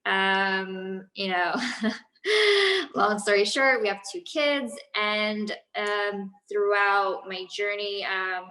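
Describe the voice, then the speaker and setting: A woman's voice: mid-range voice, drawn-out words, sharp tone, echoey room, rough recording. A woman in her thirties, a business founder, chatting casually in an interview with many fillers and restarts. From Los Angeles, USA.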